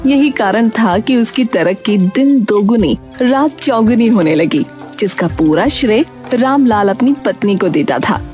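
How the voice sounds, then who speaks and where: A woman narrating a cartoon story in a steady, explaining tone. Her voice sits high, and faint music plays beneath it. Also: a little slow.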